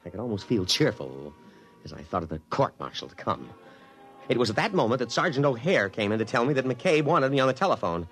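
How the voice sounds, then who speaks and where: A man acting a pompous, excitable army officer in a blustering, dramatic 1950s radio drama style. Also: medium pitch, a little fast, faint music behind. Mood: tense, rueful narration. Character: pompous, excitable male army officer narrating his downfall.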